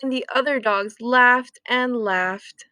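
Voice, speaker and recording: A woman reading a children's story aloud expressively, with deliberate dramatic emphasis. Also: a little high, bright, forceful tone, clean tone, dry recording, clean studio sound. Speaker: storytime reader.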